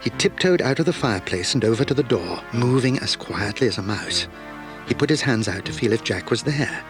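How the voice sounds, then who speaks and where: A man narrating a children's audio drama in a measured, suspenseful way. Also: medium pitch. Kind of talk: scripted storybook narration.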